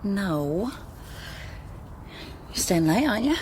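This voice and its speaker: A woman's voice: mid-range voice, very animated, takes it slowly, darkish tone, a little hiss or hum. An actress playing a wry, guarded woman investigator in a naturalistic radio drama. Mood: defensive. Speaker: lead actor in a radio drama.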